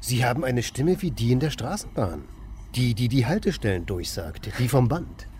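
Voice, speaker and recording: An actor playing an aimless city drifter in a radio play, talking and musing in short, dry phrases. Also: male voice, medium pitch, big pitch swings, normal speed, a little mellow, slight background noise, natural voice. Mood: calm, curious. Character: aimless, plain-spoken male city drifter. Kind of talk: radio play dialogue and first-person narration.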